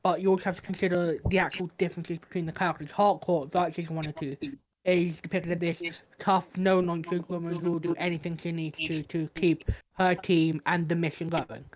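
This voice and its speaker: Co-host arguing about a film, keeps saying "i'm aware, but", chill mid-pitch voice. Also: little pitch movement, fairly quick. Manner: argumentative, pushes back repeatedly. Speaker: podcast co-host. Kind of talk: casual film discussion podcast.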